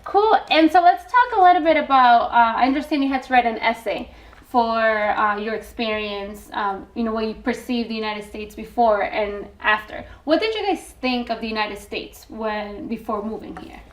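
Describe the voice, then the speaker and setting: Woman host, bright higher voice, keeps guests talking with warm little affirmations. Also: expressive, moderate pace. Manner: encouraging, with frequent short affirmations. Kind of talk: community TV interview.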